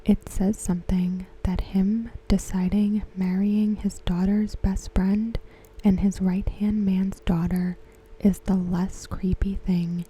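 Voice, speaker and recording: A woman giving ASMR commentary in a soft, breathy whisper, hushed and measured. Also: mid-range voice, level tone, poor audio. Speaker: ASMR host reviewing a TV episode. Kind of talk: ASMR commentary, softly spoken.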